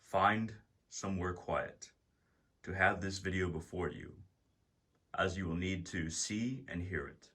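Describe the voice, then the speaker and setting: Man, meditation guide, very low pitch, crisp bright tone, measured phrasing. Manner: deliberate, measured phrasing with pauses.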